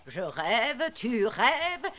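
A woman's voice: on the high side, sing-song, extremely slow, a bit bright, a little hiss or hum, full character voice. A woman voicing a pedantic older schoolteacher in a cartoon, reciting didactically. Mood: didactic. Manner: didactic, reciting verb conjugations in singsong. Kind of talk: animated cartoon dialogue.

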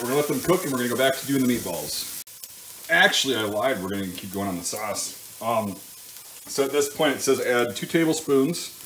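Low-voiced man chatting while he cooks. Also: a bit bright, rough recording. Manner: informal, thinking aloud, self-correcting. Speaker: home cook hosting a cooking show. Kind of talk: casual cooking commentary while working. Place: home kitchen at the stove. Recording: clear frying sizzle and kitchen noise. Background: sizzling pan and stirring sounds.

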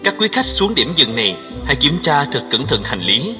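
A man voicing a formal, measured train station announcer over a loudspeaker in a cartoon. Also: mid-range voice, sing-song. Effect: station loudspeaker reverb. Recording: dull, echoing loudspeaker under music.

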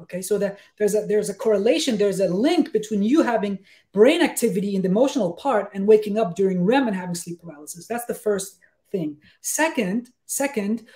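A man, explaining things conversationally as an expert guest in an emphatic, halting way, often checking that he is followed. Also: sits high, warm tone. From Denmark. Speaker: guest expert, sleep researcher. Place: small room with bookshelves, on a video call.

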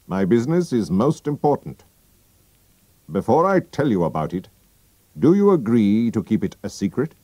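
A proud, anxious king from Bohemia , as a man reads him aloud speaks in a formal, stiff and urgent way. The voice sits higher than the reader's own but stays dark and mellow, and it rises and falls a great deal. It is a storybook reading. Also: very animated, normal speed, pauses often, no room sound.

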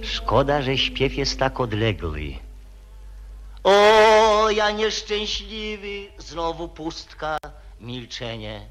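A man's voice: a little high, sing-song, extremely slow, fairly bright tone, faint music behind, exaggerated voice. A man playing a desperate, gloomy young man in an old radio comedy, lamenting melodramatically. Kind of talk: 1940s radio acting.

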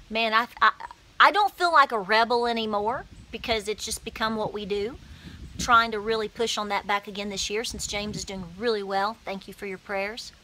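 Woman, 40s, bright and slightly high voice, animated homesteader vlog recorded on a phone outdoors on her porch. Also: slight background noise, low-quality recording. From Appalachia, USA. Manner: animated, emphatic, gestures with her hands. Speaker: homesteading vlogger. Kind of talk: passionate straight-to-camera talk. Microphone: phone camera at arm's length.

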